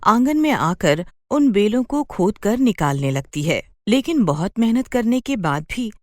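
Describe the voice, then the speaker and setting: A woman's voice: medium pitch, sing-song, unhurried, no room sound, clean studio sound. A woman narrating a scripted children's story as a storyteller.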